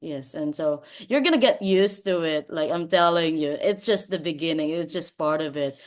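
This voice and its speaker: A woman's voice: low voice, moderate pace. A woman from the Philippines chatting as a teacher on a video call, dropping in "like" all the time. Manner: chatty, many "like" fillers. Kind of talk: casual chat on a video call.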